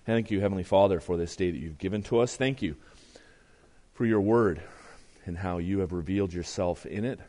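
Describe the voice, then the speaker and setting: A man preaching a sermon as pastor, his voice strained and deeper than usual, reading scripture with earnest, rising emphasis. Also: fairly quick, dark tone.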